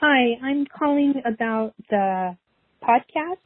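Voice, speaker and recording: A woman with a husky voice leaving a casual, earnest voicemail as a listener over the phone. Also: sits high, lively intonation, slow pace, no echo. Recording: dry, tinny phone line.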